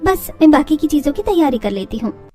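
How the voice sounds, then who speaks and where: A woman plays a young daughter-in-law in a cartoon family story. She is cheerful, confident and a little sly, with a high voice. Faint music sits behind her. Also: sing-song, brisk, dark tone. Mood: secretive, pleased.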